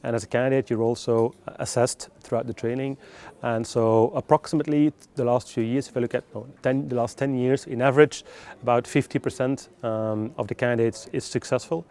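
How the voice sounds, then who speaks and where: Man, mid-pitched voice, non-native English, measured and hesitant, explanatory interview answers. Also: steady tone, darkish tone. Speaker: recruitment specialist being interviewed.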